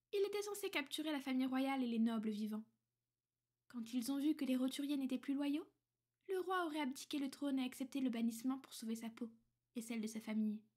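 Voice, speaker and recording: A woman voicing a powerful, protective, ancient vampire noblewoman in an ASMR roleplay, soft-spoken and breathy, formal and measured, slipping into whispers. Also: sits high. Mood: cool, aggrieved. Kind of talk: French ASMR girlfriend roleplay.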